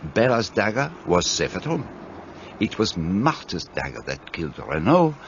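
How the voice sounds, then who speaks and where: A man's voice: low-pitched, big pitch swings, unhurried, highs cut off, slight background noise, exaggerated voice. An actor playing a shrewd, fussy Belgian detective in a radio play, speaking precisely and courteously as he lays out his reasoning. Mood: explaining.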